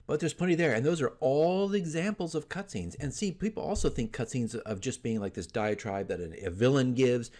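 A man's voice: medium pitch, expressive, fairly quick, few pauses, a little mellow. A man in his forties with a mid-range voice talks casually into a desk microphone in a room at home. He is conversational and emphatic, giving his opinions like a host advising fellow hobbyists. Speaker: dungeon master giving tips as channel host. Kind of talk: casual opinion talk to camera. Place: small furnished room at home, indoors. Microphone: desk microphone in front of him.

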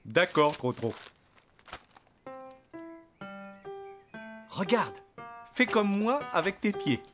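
A man's voice: sits high, big pitch swings, a little slow. A man voicing a patient, encouraging donkey father in a preschool cartoon, affectionate in manner. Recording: music behind the voice.

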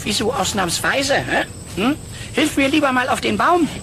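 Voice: medium pitch, animated, moderate pace, a bit bright. An actor playing a gullible, grumbling male hunter sidekick in a children's cartoon audio play, whiny and hesitant in delivery. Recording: noisy old cassette recording.

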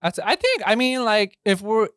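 A man's voice: sits high, very animated, normal speed, a bit bright, clear, resonant tone, no echo, studio quality. A man co-hosting a podcast, chatting casually in a feminine-sounding voice. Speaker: podcast co-host.

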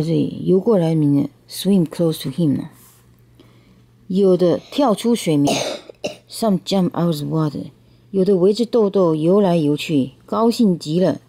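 A woman's voice: fairly low pitch, mellow. A woman reads a picture book aloud to a child, explaining bilingually and checking understanding with questions. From Taiwan.

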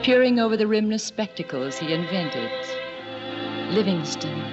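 A woman's voice: on the low side, lively intonation, normal speed. A woman narrating an old-time radio drama in a literary, dramatic storytelling manner. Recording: muffled old radio recording. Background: loud music.

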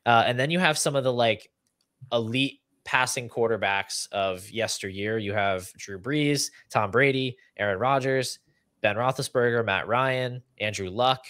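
A man's voice: mid-range voice, a little slow, fairly bright tone, dry recording, clean studio sound. A young man hosting a fantasy football podcast, talking conversationally and explaining figures in an analytical way. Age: young adult. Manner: explanatory, runs through figures and lists. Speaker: podcast host. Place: small room at home, indoors. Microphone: video call setup.

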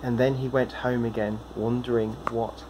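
A man in his thirties reads scripture aloud. His pitch is average. He is recorded on a phone indoors, with a faint room hiss behind him. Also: flat delivery, normal speed. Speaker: Bible reader. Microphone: phone propped at arm's length.

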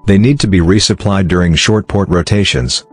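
A man narrates a scripted explainer in a low-pitched voice. His delivery is measured and formal, with music playing softly behind him. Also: breathy edge. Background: background music.

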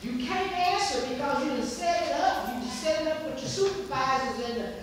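A woman's voice: high voice, expressive, moderate pace, slight background noise. A middle-aged woman with a West Virginia accent, standing to speak at a public hearing, emphatic and repetitive. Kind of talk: impassioned public comment. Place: community center meeting room. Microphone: far camera across the room. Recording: echoey room from far off.

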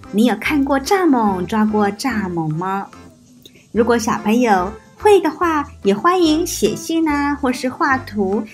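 A woman hosting a preschool storytime, narrating expressively and speaking directly to small children. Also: sits high. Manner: addresses small children with questions and soft particles.

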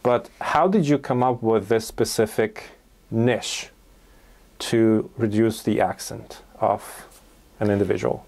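Lowish-voiced man. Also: fairly even intonation, takes it slowly, vocal fry, a little mellow. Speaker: podcast host. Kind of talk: casual interview chat. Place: small indoor podcast studio.

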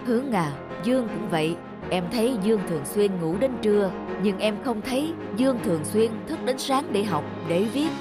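A woman voicing a calm, wise middle-aged male teacher in a cartoon, speaking in a measured, instructive way. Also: medium pitch, lightly acted. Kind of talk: cartoon dubbing. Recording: music under the voice.

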